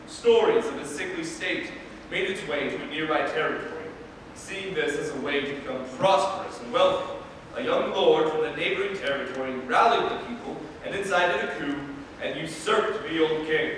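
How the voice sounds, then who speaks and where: A man playing a solemn fairy-tale narrator in a staged reading, with dramatic, measured delivery. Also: fairly high pitch, fairly bright tone, natural voice. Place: large reverberant room at a live staged reading. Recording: distant echoey hall, faint noise.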